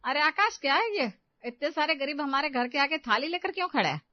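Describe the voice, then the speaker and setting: A woman voicing a bewildered middle-aged mother-in-law, questioning loudly in a cartoon comedy. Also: sits high, very animated, brisk, no room sound, lo-fi sound, pitched above the performer's own voice. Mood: shocked, bewildered. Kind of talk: cartoon family comedy acting.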